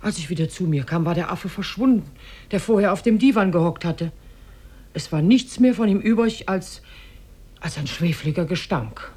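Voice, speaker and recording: A woman playing the sober mother of a grown son, husky and grave, in a 1950s radio drama. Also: fairly low pitch, lively intonation, moderate pace, darkish tone.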